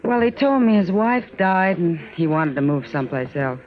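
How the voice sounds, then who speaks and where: A woman's voice: on the low side, animated, moderate pace, lightly acted. Woman playing concerned frontier saloon lady, muffled radio. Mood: concerned, friendly. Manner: informal, coaxing. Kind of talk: 1950s radio western acting. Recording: muffled old radio broadcast, crowd behind.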